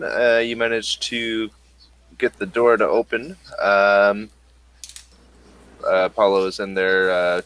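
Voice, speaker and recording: A man running a tabletop role-playing game, describing scenes slowly with lots of fillers. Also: lowish voice, fairly bright tone. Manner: halting, with many fillers. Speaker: game master.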